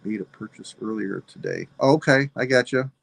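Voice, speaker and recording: A man of sixty-five, hosting a live sale and signing off in a relaxed, rambling way while losing his voice. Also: on the low side, moderate pace, vocal fry, no echo, low-quality recording. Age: 60s. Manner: relaxed, rambling, self-deprecating. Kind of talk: casual live stream sign-off.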